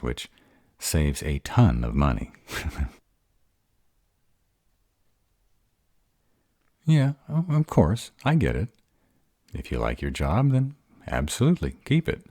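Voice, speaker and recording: A gruff were-dragon in love, acted by a man, speaks in an extremely low, dark voice. He is earnest and easily flustered, hesitating over tender words in an intimate romantic roleplay, with lively swings of pitch. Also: no room sound, clean studio sound, full character voice. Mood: eager, nervous. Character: gruff, bashful male were-dragon lover in human form. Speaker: voice actor in roleplay audio.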